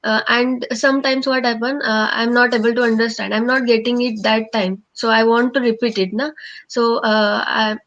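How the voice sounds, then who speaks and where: A woman's voice: a little high, level tone, moderate pace, a bit bright. A woman with an Indian accent, a programme participant, speaking hesitantly with many restarts over a video call. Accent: Indian English. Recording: muffled video call audio.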